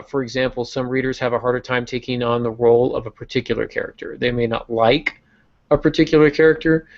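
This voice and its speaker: Man, gravelly voice, podcast host, explanatory, filler-heavy, dull lo-fi audio. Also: mid-range voice. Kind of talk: casual podcast discussion on writing craft.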